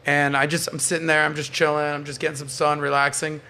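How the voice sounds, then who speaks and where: A man's voice: fairly high pitch, little pitch movement, fast, pushed and bright, a little hiss or hum. A man hosting a podcast in casual, opinionated panel chat, bantering and swearing freely. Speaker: podcast host.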